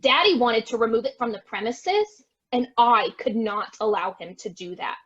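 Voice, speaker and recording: A woman's voice: fairly high pitch, lively intonation, moderate pace, a bit bright, dull audio. A woman playing a defensive, melodramatic estate heiress in an amateur online stage farce, theatrical and exclaiming. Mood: dramatic storytelling. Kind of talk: amateur stage farce acting over video.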